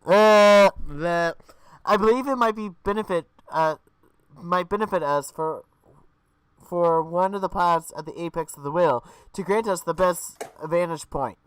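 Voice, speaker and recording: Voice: medium pitch, measured pace, clean tone, no room sound, lightly acted, rough recording. A streamer voicing a formal male hero who speaks through a helmet, in stiff, stilted phrasing with stumbles. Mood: earnest.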